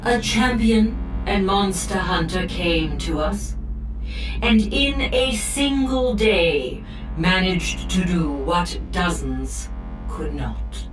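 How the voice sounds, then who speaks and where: A woman's voice: fairly low pitch, takes it slowly. An actress playing an imperious mutant queen, delivering a stately public proclamation in an audio drama. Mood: solemn, proclaiming. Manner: stately, declamatory. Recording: echoing, distant, music behind.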